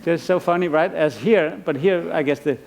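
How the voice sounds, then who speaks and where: Man, middle-aged, from Iceland, lecturing on stage; raspy, fairly high voice, musing and halting. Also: a little mellow. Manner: thoughtful, rambling with frequent restarts and fillers. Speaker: artist giving a lecture. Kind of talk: conference lecture.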